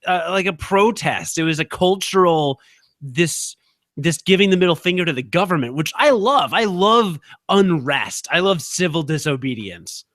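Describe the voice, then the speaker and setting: A man with a slightly high voice, talking fast and jokey as a podcast co-host. His voice is close and dry, with no room sound at all. He rants in an excitable, rambling way. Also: dry recording. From Illinois. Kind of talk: casual chat.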